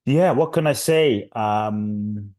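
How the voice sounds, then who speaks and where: Deep mellow guy from london, podcast guest, talks slow and thoughtful about his life, dry mic. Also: no room sound. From London, England. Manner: reflective, earnest storytelling. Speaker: guest, inspirational speaker. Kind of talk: podcast interview.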